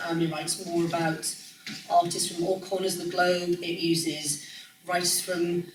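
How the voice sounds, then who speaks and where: A woman's voice: on the low side, little pitch movement, a little slow. A woman in her forties from Wales with a light Welsh accent, speaking casually as a guest speaker, full of ums and self-corrections. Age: 40s. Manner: chatty, frequent ums and self-corrections, laughs easily. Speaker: music journalist guest speaker. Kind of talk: casual conversational talk. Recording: echoey room, distant mic, faint noise.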